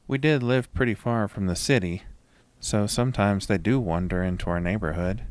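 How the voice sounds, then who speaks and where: A man narrating a scripted first-person horror story as a dramatic, measured storyteller. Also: fairly low pitch, a little mellow, no echo, studio quality.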